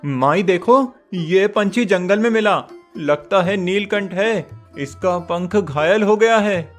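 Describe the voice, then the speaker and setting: A man playing a devoted village goatherd boy, soft and reassuring, high voice, slow, cartoon story. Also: faint music behind. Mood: tender. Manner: soft, reassuring, stammers when scared.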